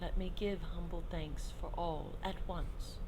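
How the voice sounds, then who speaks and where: A woman's voice: lowish voice, fairly even intonation, measured pace, vocal fry, darkish tone, slight background noise, rough recording. A woman acting a proud, resolute exiled queen in formal, stately verse for a Shakespearean audio drama. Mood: grateful, humble.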